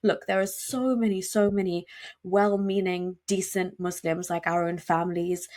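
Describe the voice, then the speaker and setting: Woman guest, emphatic and fast, full of fillers and restarts. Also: on the low side, fairly even intonation, warm tone. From United Kingdom. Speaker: podcast guest. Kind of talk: conversational interview.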